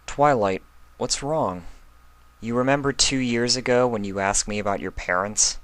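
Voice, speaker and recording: A man plays a young female unicorn, a protective big-sister type who frets and pleads. The delivery is gentle and emotional, raised in pitch from the reader's own, as in a storybook fan fiction, with little room sound. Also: moderate pace, no room sound, close to an everyday voice. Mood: gentle, concerned. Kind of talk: acted fan fiction reading.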